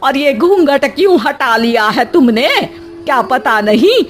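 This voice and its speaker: A woman's voice: high-pitched, expressive, a little slow, faint music behind, pitched above the performer's own voice. A woman voicing a stern traditional village mother-in-law, bossy and reproachful, in a moral story. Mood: stern scolding.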